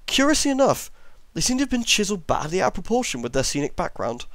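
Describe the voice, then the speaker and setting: A man's voice: high-pitched, big pitch swings, fast talker, fairly bright tone, no room sound. Man reading a horror story, dry close sound. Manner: reads with stumbles over long words, self-correcting. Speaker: amateur story reader.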